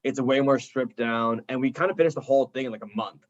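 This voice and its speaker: A man's voice: medium pitch, fast. Young man chatting casually, slightly dull audio. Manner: enthusiastic, informal, heavy use of "like". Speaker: indie rock band member being interviewed. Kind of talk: casual chat.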